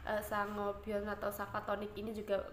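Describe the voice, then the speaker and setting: A woman's voice: medium pitch, completely flat delivery, moderate pace. A woman vlogging from home with a stuffy, congested voice, chatting to viewers in a rambling way with many fillers. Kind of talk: casual chat to viewers. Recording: echoey room, faint ambient noise.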